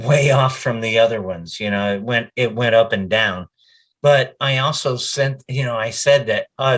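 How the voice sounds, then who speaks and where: A man's voice: medium pitch, fairly bright tone. A middle-aged man, a voice actor, telling anecdotes casually in an interview and acting out bits of quoted speech as he goes.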